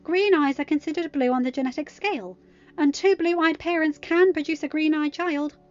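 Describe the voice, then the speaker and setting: Woman acting stressed, sharp-tempered teenage girl, higher-pitched. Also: moderate pace, highs cut off, natural voice. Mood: scornful. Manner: snapping, scoffing, defensive. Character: stressed, proud sixteen-year-old girl with a sharp temper. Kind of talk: dramatized audiobook narration.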